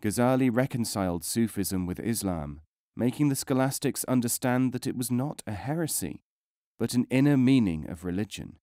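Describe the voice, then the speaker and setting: A man reading non-fiction as a scripted audiobook narration, in a measured, formal way with careful enunciation. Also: mid-range voice, animated, warm tone, no room sound, studio quality.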